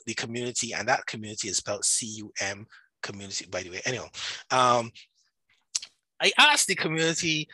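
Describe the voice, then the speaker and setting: Bright, husky Barbadian man talking casually. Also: medium pitch, no echo, poor audio. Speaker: podcast guest, writer and self-publishing coach. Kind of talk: casual conversational self-promotion.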